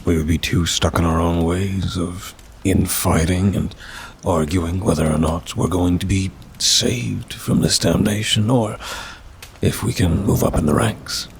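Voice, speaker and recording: A man's voice: sits low, steady tone, unhurried, creaky, slight background noise. A man voicing a bored, condescending demon in an intimate ASMR roleplay, with a dry, languid, faintly mocking delivery. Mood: dry, matter-of-fact. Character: bored male demon with claws and fangs, wry, condescending.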